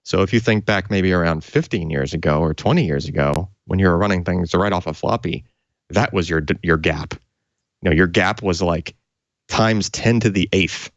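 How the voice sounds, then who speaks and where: A man's voice: sits low, no echo, dull audio. A man co-hosting a tech show, explaining technical detail off the cuff in an enthusiastic, conversational way.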